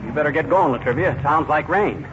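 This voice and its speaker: An actor playing a folksy, mischievous, wisecracking husband who teases jauntily in 1940s radio comedy. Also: male voice, a little high, brisk, natural voice. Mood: teasing. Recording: dull, hissy 1940s radio recording.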